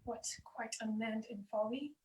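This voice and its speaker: A woman's voice: a little high, fairly even intonation, measured pace, dark tone, lightly acted. A young woman playing a commanding, scornful queen in a Shakespearean radio play, with theatrical delivery. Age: young adult. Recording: distant, echoey mic with clear noise.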